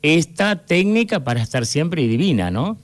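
A man with an Argentine accent hosting a radio interview, effusive, pausing deliberately in mid-sentence. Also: a little high, big pitch swings. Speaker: radio host.

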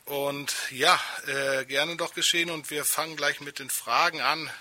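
A man's voice: fairly high pitch, steady tone, measured pace, sharp tone. A man presenting a radio programme as host and interviewer. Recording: music behind.

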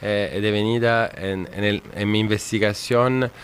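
A man with an Italian accent talks through a radio interview in a low voice. He searches for his words in his second language, so the speech is halting and full of fillers. A faint room ambience sits under him. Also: steady tone, a little hiss or hum. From Italy. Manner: halting, many pauses and fillers. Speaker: guest historian.

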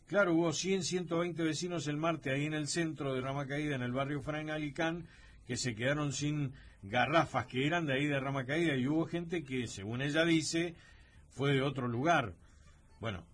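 A man's voice: medium pitch, a bit bright, husky. A man hosting a radio talk show, thinking aloud with many hesitations and fillers. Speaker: radio host.

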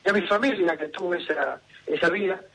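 A man in his seventies with a Buenos Aires accent, a singer being interviewed on the radio, who talks in an unhurried, reflective way with many fillers. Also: sits high, a bit bright, slight background noise, rough recording. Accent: Buenos Aires Spanish. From Argentina. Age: 70s. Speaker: singer guest. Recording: radio broadcast with music behind.

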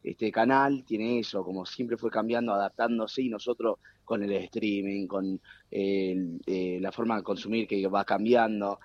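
A man's voice: on the low side, steady tone, measured pace, a little mellow, dry recording. A young man in his twenties with an Argentine accent, chatting animatedly as a guest over a remote call. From United States. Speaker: guest, TV producer. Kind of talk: casual conversation. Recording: dull, dry remote call line.

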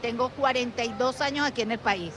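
A woman with a Colombian accent speaks passionately outdoors in a city street, repeating herself like slogans. Clear street noise fills the background behind her. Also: on the high side, fairly quick. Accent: Colombian Spanish. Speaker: interviewed resident. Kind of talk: street interview.

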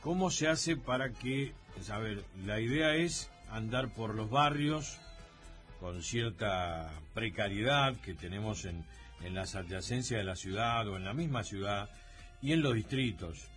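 A man with an Argentine accent hosting a radio show, thinking aloud in a halting way with many fillers. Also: fairly low pitch, animated, fairly bright tone, faint music behind. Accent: Argentine Spanish. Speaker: radio host. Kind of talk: casual radio commentary.

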